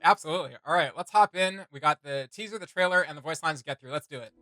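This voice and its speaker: Young guy reacting, bright higher voice, slangy and hyped, dry close mic. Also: fast talker, no room sound. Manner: enthusiastic, slangy. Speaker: reaction video host. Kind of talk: casual reaction chat.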